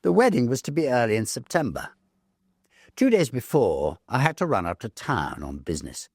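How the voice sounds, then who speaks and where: A man reading a Victorian story aloud, measured and expressive, with dramatic pauses. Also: medium pitch, slightly rough, dry recording, studio quality. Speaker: story reader, narrator. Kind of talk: dramatic literary narration of a Victorian ghost story.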